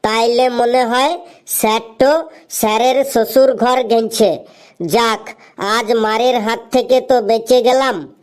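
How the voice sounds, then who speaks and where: Slow, high-pitched cheeky schoolboy Lebu voiced by a woman. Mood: sly, relieved. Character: cheeky schoolboy Lebu, bossy class show-off. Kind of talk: Bengali comedy cartoon dialogue.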